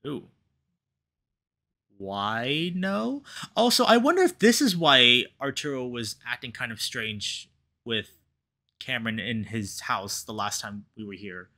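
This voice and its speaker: A man hosting a playthrough, expressively reading a visual novel aloud and reacting to it with commentary. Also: mid-range voice. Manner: animated, reacts aloud to the story. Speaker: let's play host, reader.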